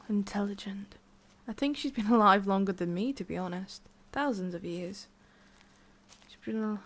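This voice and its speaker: Creaky-voiced tsundere witch, played by a woman. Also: medium pitch, close to an everyday voice. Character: centuries-old tsundere forest witch, haughty but secretly soft, woman. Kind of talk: ASMR roleplay, addressing the listener.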